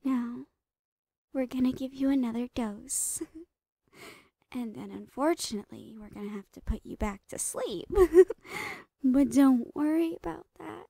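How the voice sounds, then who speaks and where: High-voiced, unhinged doting lady doctor. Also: expressive, slow pace, mellow, dry recording, exaggerated voice. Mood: sweetly menacing. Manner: breathy giggling, cooing, slipping into whispers. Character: smiling psychotic female doctor, obsessively doting on captive test subject. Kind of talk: horror ASMR roleplay.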